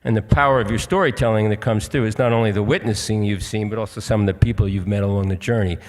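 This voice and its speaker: An older American man moderates a live stage interview, speaking earnestly in a halting, rambling way. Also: fairly low pitch, fairly even intonation, darkish tone. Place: auditorium stage. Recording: hall reverb on a stage.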